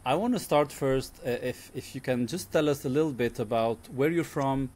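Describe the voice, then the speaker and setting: A man hosting a podcast, welcoming his guest and asking friendly, measured questions. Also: mid-range voice, darkish tone. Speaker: podcast host. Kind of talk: interview hosting.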